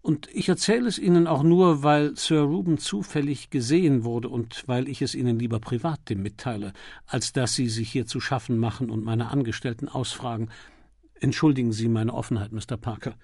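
A man's voice: medium pitch, fairly even intonation, a little mellow, no echo, clean studio sound, close to an everyday voice. A man voicing a discreet, dignified society physician in an audiobook, formal and guarded. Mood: confidential, guarded. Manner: formal, measured, confidential. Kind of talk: audiobook character voice.

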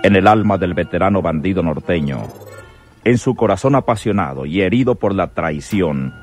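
A man's voice: sits low, expressive, moderate pace. A man narrating a radionovela in a solemn, florid, theatrical style. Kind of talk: dramatic scripted radionovela narration. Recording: faint music behind.

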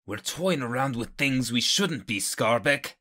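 An actor playing a grumbling, uneasy, skeptical henchman with a raspy voice in an audio drama. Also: medium pitch, expressive, unhurried, pushed and bright.

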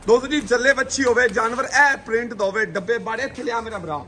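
A man's voice: very high voice, fast, sharp tone, a little hiss or hum. Upbeat man selling cattle. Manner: showy, upbeat, directs handlers. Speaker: livestock video host. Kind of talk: sales pitch showing cattle. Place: outdoor cattle farm yard.

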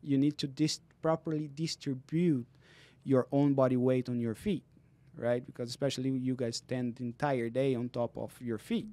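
A man's voice: mid-range voice, steady tone, normal speed, mellow, no room sound. Earnest man explaining in a podcast studio. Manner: earnest, explanatory, non-native phrasing. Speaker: physical therapist guest. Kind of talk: explaining in casual interview. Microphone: studio mic on boom arm, headphones.

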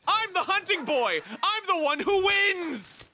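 A cocky, goofy monster hunter from a video game, with a high voice that swings wildly. He is theatrical and boastful in his delivery, with game sound effects clearly behind him. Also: sing-song, slow, sharp tone, slight background noise, full character voice. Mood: triumphant.

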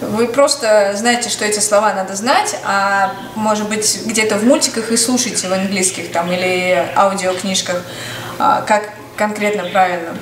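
A woman's voice: medium pitch, steady tone, moderate pace, reverberant, background noise. A woman in her thirties with a Russian accent, chatting candidly as a tutor and parent, then reading English words one by one into a webcam at her desk. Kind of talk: casual vlog-style lesson, reading word lists. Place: small indoor room.

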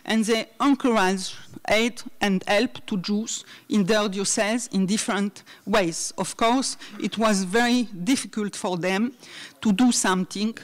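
A woman's voice: medium pitch, measured pace. A middle-aged woman giving a conference talk from notes at a university hall, hesitant with many fillers, speaking into a podium mic. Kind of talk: academic talk read from notes. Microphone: desk mic on a stand.

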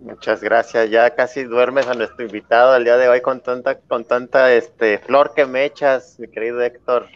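A man's voice: medium pitch, flat delivery, moderate pace, few pauses. A man with a Mexican accent, a talk show host chatting casually over a video call. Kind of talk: casual banter. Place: indoor room, video call. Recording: dull lo-fi video call audio.

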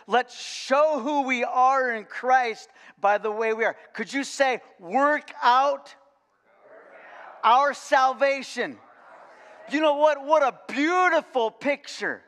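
An older man preaching a sermon in a folksy, animated way, full of repeated words and "okay?" asides. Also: very high voice. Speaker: pastor. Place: large church sanctuary, indoors. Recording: long reverb of a church hall.

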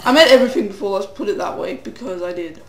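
A man's voice: high-pitched, quick pace, a bit bright, echoey room, slight background noise. A teenage boy with a cold giving rambling, self-deprecating commentary while playing a game. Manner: rambling, self-deprecating, sing-song asides. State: a cold, voice getting hoarse. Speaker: gamer.

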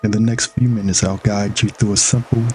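A man narrating a scripted guided meditation in a measured, instructional way with long pauses between phrases. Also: fairly low pitch, steady tone, faint music behind.

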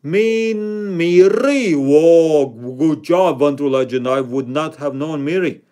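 A man talks to his stream chat from a room at home, close to the mic. His voice sits a bit high, and he teases himself and his viewers in loose, playful chatter between rounds of a word game. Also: slow, mellow. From Latvia. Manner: playful, self-mocking, reads out chat names and rankings. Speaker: livestream host. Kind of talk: casual live-stream chatter while playing a word game.

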